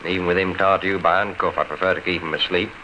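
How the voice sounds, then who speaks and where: Actor as deep-voiced wary policeman. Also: fairly even intonation, fast, lo-fi sound, natural voice. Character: gruff, wary police escort. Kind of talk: 1950s radio drama acting. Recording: noisy old radio recording.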